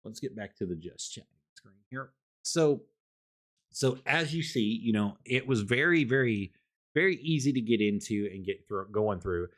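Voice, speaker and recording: A man's voice: on the low side, lively intonation, normal speed. Rambling man reviewing a microphone. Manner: rambling, repeats words, loud voice. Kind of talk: casual product review. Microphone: desktop condenser microphone.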